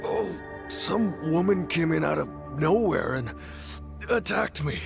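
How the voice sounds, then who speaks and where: A man's voice: a little high, lively intonation, slow pace, gravelly, music in the background, full character voice. An actor playing a shaken, stammering man who was just attacked, in a game dub.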